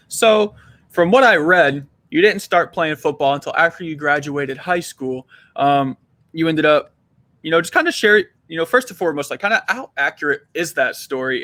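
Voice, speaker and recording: A bright, slightly higher-pitched male host who talks in a relaxed, friendly way and laughs easily. The sound is dry and close to the mic. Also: dry recording. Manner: upbeat, easygoing, laughs often. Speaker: podcast host. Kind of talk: casual interview.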